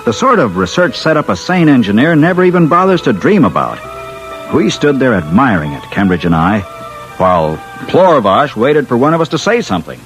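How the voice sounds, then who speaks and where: Male actor as skeptical engineer narrator, wry, old radio drama, expressive pitch swings, musical bed. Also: fairly quick, lightly acted, low-quality recording. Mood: wry narration. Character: wry, wary male engineer narrating his own adventure. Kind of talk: old-time radio drama, first-person narration and dialogue. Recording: vintage radio with music underneath.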